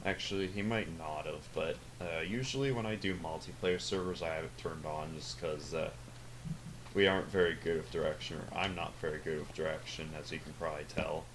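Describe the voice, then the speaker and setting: Deep-voiced teenage boy, rambling. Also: steady tone, a little fast, background noise. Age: teens. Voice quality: deep, sounds older than his age. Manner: rambling, hesitant, lots of fillers and self-corrections. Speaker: gaming channel host. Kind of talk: casual gameplay commentary.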